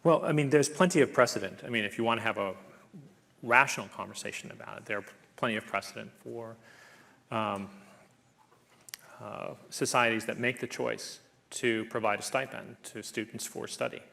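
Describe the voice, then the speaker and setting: A man giving a university public lecture and then answering audience questions in a measured, thoughtful way, with frequent ums and uhs. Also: medium pitch, gravelly, fairly bright tone. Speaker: guest lecturer, professor.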